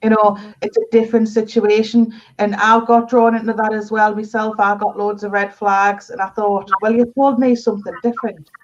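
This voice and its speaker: A woman's voice: mid-range voice, flat delivery, moderate pace, few pauses. A woman chatting casually as a guest, candid and emphatic, repeating herself and quoting people as she goes. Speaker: guest on a live discussion. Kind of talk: casual chat, anecdote telling. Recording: dull lo-fi video call audio.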